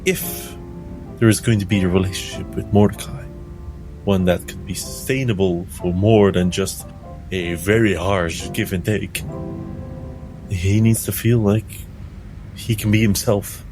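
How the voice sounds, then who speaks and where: A man's voice: deep voice, fairly even intonation, unhurried, pauses often. A man playing a tabletop game, describing what his character does. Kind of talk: narrating own character's actions in roleplay. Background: music.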